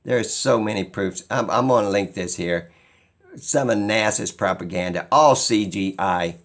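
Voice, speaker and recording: Slow-talking man with a gravelly, creaky voice. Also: on the low side, a bit bright. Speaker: video creator. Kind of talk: unscripted opinion monologue.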